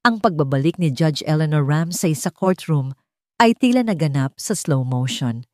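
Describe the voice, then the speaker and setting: A woman's voice: sits low, lively intonation, moderate pace, a little mellow, dry recording, studio quality. A woman narrator reading a scripted dramatic audiobook story.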